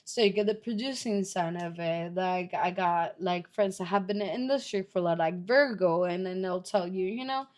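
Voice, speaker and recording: A woman from Puerto Rico with a Puerto Rican accent, talking as a guest in a casual interview, animated and straightforward, dropping in Spanish phrases. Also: fairly low pitch, normal speed, hardly any pauses.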